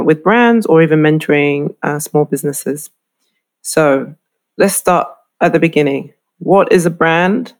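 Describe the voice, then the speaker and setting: A middle-aged woman from the UK, a lecturer giving a clear, explanatory lesson on branding. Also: sits low. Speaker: fashion marketing lecturer. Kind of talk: instructional talk to camera.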